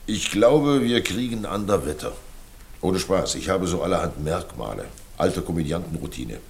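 An actor playing a world-weary, self-mocking older former stage player in a radio drama, with a theatrical, resigned manner. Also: male voice, sits low, sing-song, normal speed, vocal fry, heavily acted. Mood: wry resignation. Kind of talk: naturalistic radio drama acting.

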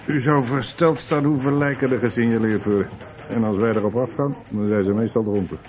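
An actor playing a sceptical, unimpressed police inspector in a Dutch radio play, questioning brusquely with sardonic hums. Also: male voice, medium pitch, fairly even intonation, fairly quick, darkish tone, close to an everyday voice. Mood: dry, sceptical.

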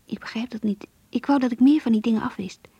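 A shy young woman confiding her diary in a radio drama. Her voice is very breathy and husky, often near a whisper, with a soft mellow tone. She is hesitant and yearning, recorded close and dry. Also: on the high side, fast talker, dry recording, muffled recording. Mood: worried.

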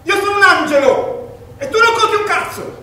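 Man acting an overwrought high-pitched ranter, echoey stage. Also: lively intonation, normal speed, very noisy. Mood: furious. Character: overwrought, ranting man in absurd stage comedy. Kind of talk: loud theatrical stage acting. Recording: echoey, distant stage recording, faint audience.

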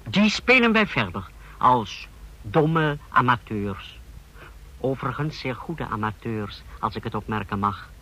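A dictator's scheming aide with a crisp-edged, bright voice at middle pitch. He explains with formal precision and deference, in the manner of radio drama. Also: animated, a little slow. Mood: formal, self-assured. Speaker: actor in a radio play. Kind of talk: Dutch radio drama acting.

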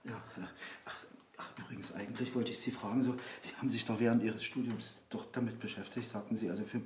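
A man's voice: on the low side, steady tone, darkish tone, natural voice. Man playing a flustered politician in a roomy space. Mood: pleading, evasive. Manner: halting, flustered, apologetic. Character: stressed male politician, pleading, evasive, craving drugs. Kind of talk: naturalistic German radio drama acting. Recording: roomy with clear background noise.

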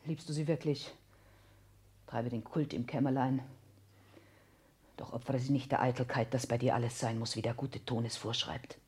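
A woman's voice: a bass voice, steady tone, normal speed, pauses often, a little mellow, husky, heavily acted. This is a proud woman in a 1960s radio play. She speaks with theatrical intensity and biting scorn, pressing each phrase hard. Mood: urgent, warning. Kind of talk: 1960s German radio drama acting.

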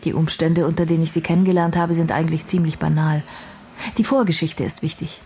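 A woman's voice: low-pitched, fairly even intonation, quick pace, dark tone, a little hiss or hum. An actress playing a blunt, earnest young intellectual woman in a radio crime drama, speaking precisely and formally. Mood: candid, matter-of-fact. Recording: music playing behind the voice.

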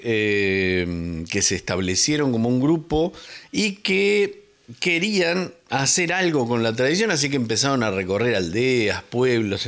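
A man's voice: medium pitch, a bit bright. A man co-hosting a radio music show, chatting casually and thinking aloud with many fillers. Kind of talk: casual on-air chat about music.

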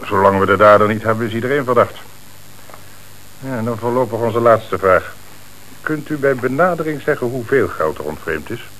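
An actor playing a methodical, inquisitive police commissioner in a radio play. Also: male voice, medium pitch, expressive, a bit bright, lightly acted, poor audio. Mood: probing, suspicious. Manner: inquisitive, measured. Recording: hissy old radio play recording.

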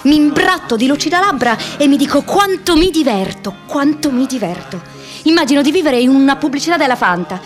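An actress playing a restless, wry young American woman activist in an expressive, introspective radio drama monologue. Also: sits high, a little fast, soft background music. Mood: playful, giddy. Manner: expressive, introspective, shifts between playful and wistful.

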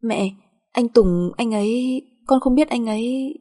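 A woman's voice: medium pitch, brisk, mellow, slightly rough, close to an everyday voice. A woman voicing a timid young wife and mother, deferential and emotional, in an audio drama. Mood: timid, flustered.

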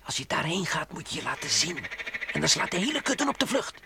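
An actor playing a bossy, admonishing space adventurer who lectures and grumbles in a children's radio play. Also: male voice, on the high side, sing-song, fast, gravelly, background noise. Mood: cautioning. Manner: didactic, admonishing.

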